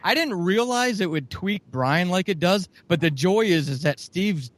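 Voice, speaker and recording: Middle-aged man, podcast guest, rambling and profane, slightly high voice, dead-dry sound. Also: lively intonation, moderate pace, no echo. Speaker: podcast guest, audio drama writer. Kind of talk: casual podcast interview.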